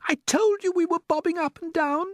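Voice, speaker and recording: A squeaky, prim lady ladybird. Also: a little fast, no echo, studio quality. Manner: prim, very high-pitched. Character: prim fussy ladybird, female.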